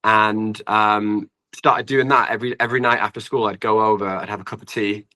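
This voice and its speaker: A young man with a British accent and a low-pitched voice that still sounds bright and forward. He talks casually as an interview guest, wandering through his sentences in an informal way. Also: fairly even intonation, brisk. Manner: rambling, informal, frequent "like". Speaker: guest singer-songwriter. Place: at home in an apartment.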